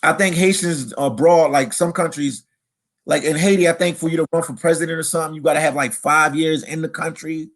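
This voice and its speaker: A man with a slightly higher voice hosts a casual live-stream interview. He chats loosely and reads viewer comments aloud between questions. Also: fairly even intonation, a little fast.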